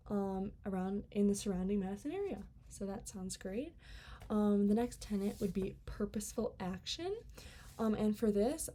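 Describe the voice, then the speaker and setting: A woman's voice: mid-range voice, mellow. A young woman, an incoming college student, reading out a class presentation in an earnest way with many "um" fillers. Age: young adult.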